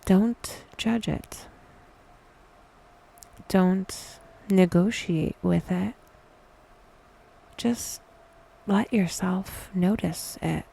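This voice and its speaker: A woman leading a guided meditation in a soft, breathy whisper, intimate and deliberate, with long pauses. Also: medium pitch, rough recording.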